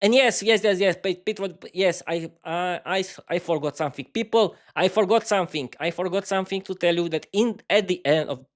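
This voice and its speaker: A man with a Slovak accent, a native Slovak speaker, hosting a casual live-stream podcast in a halting way with many restarts. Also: high voice. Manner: halting, many restarts and fillers, talks to himself by name. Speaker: podcast host.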